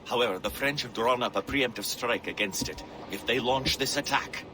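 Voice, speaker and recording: A man's voice: medium pitch, moderate pace, noisy background, natural voice. A man playing a courteous, formal British naval captain in a video game. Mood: grave. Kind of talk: video game cutscene acting.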